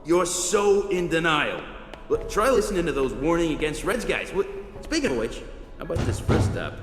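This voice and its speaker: An actor playing a casual, slangy young American plumber in a video game cutscene. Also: male voice, fairly high pitch, big pitch swings, normal speed, soft background music. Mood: argumentative. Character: laid-back, argumentative American plumber, young everyman.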